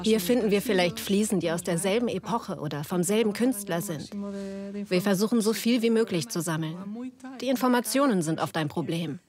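Actress as earnest female craft preserver, medium pitch, documentary interview voice-over. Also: a little fast, crowd noise, lightly acted. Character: earnest female tile preserver devoted to heritage.

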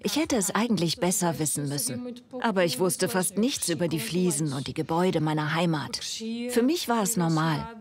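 A woman's voice: lowish voice, lively intonation, moderate pace, crowd noise. A woman voicing interview remarks as a scripted voice-over. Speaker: voice-over translator.

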